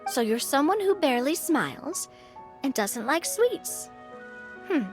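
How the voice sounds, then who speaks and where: A woman's voice: high voice, very animated, a little slow, faint music behind. An actress playing a cheerful, optimistic young woman adventurer with a sunny, chatty, earnest manner. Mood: earnest, upbeat.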